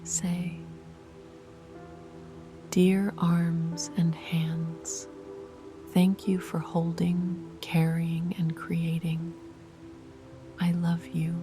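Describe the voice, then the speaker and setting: A woman whispering soft, soothing guided meditation instructions. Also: lowish voice, completely flat delivery, very slow, long pauses. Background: music.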